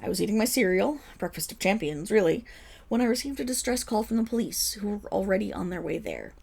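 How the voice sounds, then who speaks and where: A woman's voice: mid-range voice, big pitch swings, fast, creaky, darkish tone, slightly rough, heavily acted. A woman playing a flustered, boastful female superhero who rambles defensively through a dramatic public apology speech in an audio roleplay. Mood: breezy, boastful. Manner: rambling, defensive, dramatic storytelling with nervous laughs. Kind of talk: scripted audio roleplay monologue as a press conference speech.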